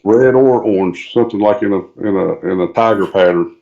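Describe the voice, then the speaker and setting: A man's voice: lowish voice, fairly quick, vocal fry, warm tone. A middle-aged man chatting casually as a podcast guest, easygoing and friendly, over slightly lo-fi call audio. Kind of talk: casual chat, informal tips.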